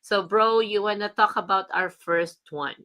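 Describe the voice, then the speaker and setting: Bright-voiced Filipina woman, chatty host. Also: medium pitch, steady tone, normal speed. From Philippines. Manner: chatty and upbeat, laughs often. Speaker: host and business coach. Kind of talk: casual livestream talk.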